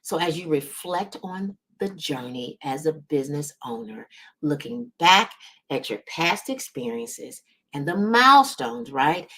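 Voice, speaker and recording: Low-pitched woman giving motivational coaching. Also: a bit bright. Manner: emphatic, encouraging, often checks in with "right?" and "okay?". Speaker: business coach and series host. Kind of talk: motivational coaching talk to camera.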